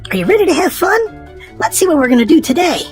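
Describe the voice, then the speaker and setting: A woman reading a storybook aloud to young children in an animated, sing-song way. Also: very high voice, fast, soft background music. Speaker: children's storytime host and reader.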